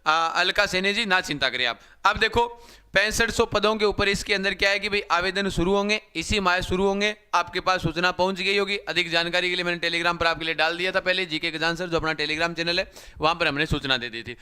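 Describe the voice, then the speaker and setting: Slightly hoarse, bright-voiced coaching teacher. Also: male voice, sits high, fairly quick. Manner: repetitive, addresses viewers as brothers. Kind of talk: live-stream class talk.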